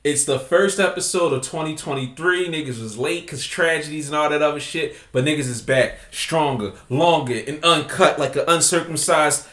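A man hosting a casual podcast, talking in a loud, profane, joking way. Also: on the high side, normal speed, few pauses, echoey room. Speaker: podcast host.

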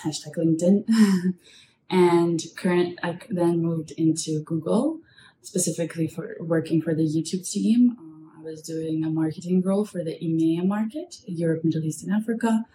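A young woman from Russia speaks as an interview guest in a conversational way. Her voice is quite low for a woman. The recording is echoey, with faint noise in the background. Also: moderate pace, mellow. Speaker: podcast guest, marketing professional.

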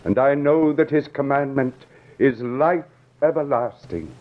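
An actor playing a solemn prophet in an old-time radio drama, preaching scripture in a measured, declamatory way. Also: male voice, medium pitch, lightly acted. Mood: solemn authority. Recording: dull old radio recording.

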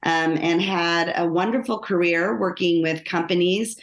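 A woman's voice: on the low side, unhurried. A middle-aged woman with an American accent, telling her own story conversationally as an interview guest. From Los Angeles, United States. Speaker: podcast guest, lawyer and author. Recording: roomy, echoing sound.

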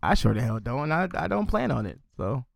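A man in his thirties hosting a podcast, telling stories in a relaxed, rambling way with lots of fillers. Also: mid-range voice, brisk, creaky. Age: 30s. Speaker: podcast host. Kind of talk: casual chat.

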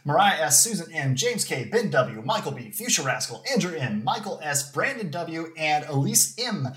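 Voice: mid-range voice, very animated, normal speed, fairly bright tone. A podcast host chatting casually on a live stream in a jokey, slightly theatrical way.

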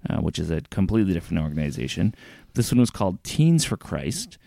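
Low gravelly young man chatting. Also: very animated, fast talker, mellow, dry recording, studio quality. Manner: laid-back storytelling with frequent laughs and fillers. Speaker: podcast co-host. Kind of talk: casual chat.

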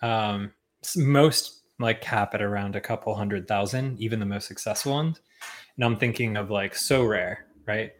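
A young man talking as a guest on a podcast, relaxed, with lots of ums and likes and frequent chuckles. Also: lowish voice, normal speed, a little hiss or hum. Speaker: podcast guest, tech founder. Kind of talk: casual interview chat.

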